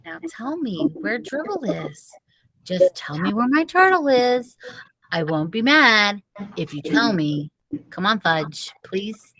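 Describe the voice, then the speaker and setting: Storybook schoolboy, acted by a woman: frantic, insistent, with a lively swooping pitch. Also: slow pace, exaggerated voice. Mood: coaxing. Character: frantic, accusing fourth-grade boy. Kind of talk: children's storybook read-aloud.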